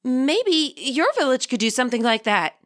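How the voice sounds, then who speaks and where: A woman's voice: high-pitched, sing-song, normal speed, voice pushed up. A woman voicing a nervous, cautious middle-aged male spirit who speaks hesitantly and apologetically in a story reading. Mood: tentative.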